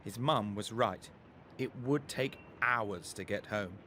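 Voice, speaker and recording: A man narrating a scripted children's story as a storyteller, expressive and deliberate, with faint vehicle noise behind him. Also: fairly low pitch.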